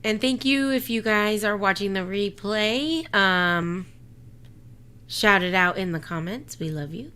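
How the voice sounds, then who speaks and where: A woman's voice: mid-range voice, animated, unhurried, bright, forceful tone, clear, resonant tone. A woman hosting a casual live chat show, with a giggly, slangy way of talking. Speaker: live panel show host. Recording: faint background noise.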